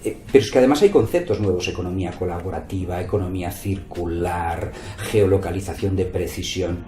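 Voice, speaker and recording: Man, low mellow voice, didactic interview talk, roomy echo, faint music behind. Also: a little fast. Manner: didactic, with rhetorical tag questions and examples. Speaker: entrepreneur and investor being interviewed. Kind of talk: conversational interview, explaining business advice.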